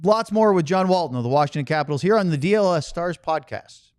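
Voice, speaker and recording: A man's voice: fairly high pitch, lively intonation, quick pace, darkish tone, smooth, dry recording, studio quality. A man hosting a sports podcast, moving through segues and sponsor reads in a casual conversational style. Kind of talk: casual ad read and show hosting.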